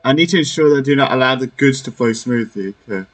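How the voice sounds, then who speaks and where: A young man giving casual, halting gameplay commentary, reacting informally as he plays. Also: mid-range voice, expressive. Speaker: gamer playing through a story quest. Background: game music.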